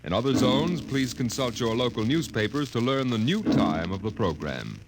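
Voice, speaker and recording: A man narrating as a 1950s radio announcer in a grand, dramatic, measured manner. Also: mid-range voice, sing-song, very noisy. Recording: old radio broadcast over loud music.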